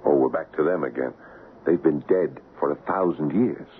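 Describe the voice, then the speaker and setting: Deep-voiced American husband, old radio. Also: male voice, normal speed. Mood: incredulous. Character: sceptical, concerned American writer husband on holiday. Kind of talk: 1970s radio drama acting and narration. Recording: tinny, noisy 1970s radio broadcast recording.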